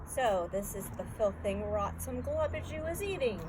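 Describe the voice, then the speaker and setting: Woman voicing a brutish shouting giant, noisy recording. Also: on the high side, animated, normal speed, close to an everyday voice. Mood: scornful. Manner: bullying, shouting insults in garbled grammar. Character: huge brutish man-eating giant, booming bully. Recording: clearly noisy background.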